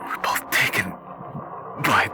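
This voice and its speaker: A man voicing a grieving father in his thirties, a weary wasteland survivor, in a halting, dramatic roleplay monologue. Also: background noise, close to an everyday voice. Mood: somber, uneasy. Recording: background music under the voice.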